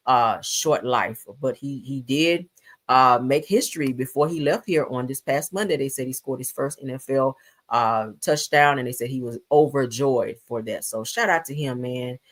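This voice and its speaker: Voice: mid-range voice, fairly bright tone. A host giving casual live news and gossip commentary in a sassy, blunt, colloquial way, often addressing listeners directly.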